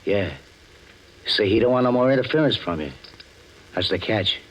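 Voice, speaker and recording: A man's voice: on the low side, lively intonation, moderate pace. An actor playing a dim, menacing henchman in a 1940s radio crime drama, talking in tough-guy slang. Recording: muffled, hissy old 1940s radio recording.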